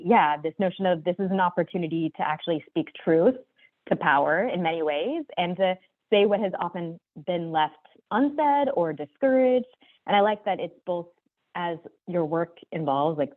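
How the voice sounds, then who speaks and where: A woman's voice: lowish voice, expressive. A woman moderating an online panel in a chatty, loose way, thanking speakers and steering the talk. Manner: chatty, loose, with fillers and quick apologies. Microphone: video call. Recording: tinny phone-like video call audio.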